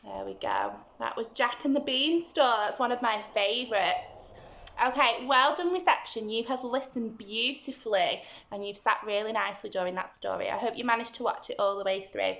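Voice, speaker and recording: A woman's voice: a little high, expressive, moderate pace, a bit bright, roomy sound, muffled recording. A woman teacher reading a story to young children, expressively and with dramatic emphasis.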